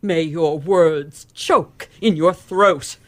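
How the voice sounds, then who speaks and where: A woman's voice: deep voice, very slow. A woman playing a haughty, melodramatic villainess in an old-fashioned radio play, theatrical and wailing. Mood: bitter, cursing. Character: haughty, melodramatic villainess, a betrayed lady of high standing.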